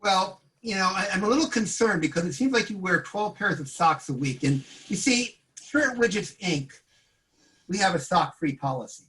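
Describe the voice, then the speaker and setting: Deadpan male job interviewer played by a man. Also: sits high, low-quality recording. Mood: gravely concerned. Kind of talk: improvised sketch comedy.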